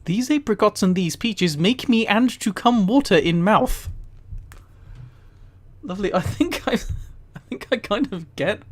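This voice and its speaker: Higher-pitched man, casual and giggly. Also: expressive, a little slow. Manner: giggly, easily amused. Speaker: streamer. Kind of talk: casual livestream reading with amused commentary.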